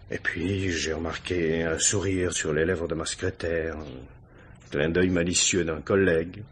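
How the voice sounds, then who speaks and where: Anxious husband, very husky low voice. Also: male voice. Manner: hesitant, self-justifying, halting. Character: anxious married man confessing to a police inspector. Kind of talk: 1950s French radio crime drama acting.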